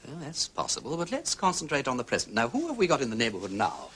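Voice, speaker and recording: An actor playing a jaunty, inquisitive English aristocrat sleuth, with upper-class vowels and playful, exclamatory period phrasing. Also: male voice, medium pitch, huge pitch swings, fast talker, a bit bright, a little hiss or hum. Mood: musing. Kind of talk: period radio drama acting.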